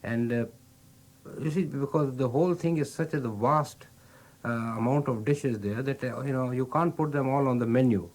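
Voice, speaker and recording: A man's voice: medium pitch, normal speed, darkish tone. Indian accent guy, runs a restaurant, explains stuff patiently w lots of uhs. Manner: patient explaining, frequent fillers. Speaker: restaurant owner. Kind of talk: explaining, casual interview.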